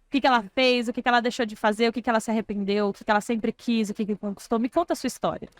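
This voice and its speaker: A woman's voice: on the high side, fairly even intonation, rapid-fire. A young woman from Brasília hosting a podcast in a bubbly, enthusiastic way. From Brasília, Brazil. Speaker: podcast host, social media staff.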